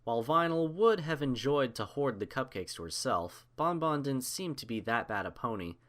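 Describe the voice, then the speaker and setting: A man's voice: mid-range voice, animated, normal speed, fairly bright tone. A man reading scripted fiction as an audiobook narrator.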